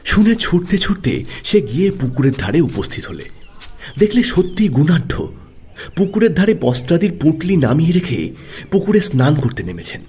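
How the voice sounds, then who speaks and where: A man narrating a literary audio story in a dramatic, expressive style. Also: fairly high pitch, normal speed, slight background noise. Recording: music under the voice.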